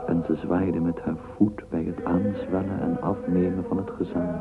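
A man's voice: deep voice, little pitch movement, normal speed, over loud music. A man narrating a radio drama as a storyteller, reading flowery literary prose. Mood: measured, narrating. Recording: tinny, hissy old radio recording.

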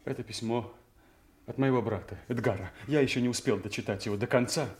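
A man acting a scheming young nobleman son in a classical audio play, deliberate and insinuating, with smooth false deference. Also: mid-range voice, slight background noise, natural voice. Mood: feigned reluctance.

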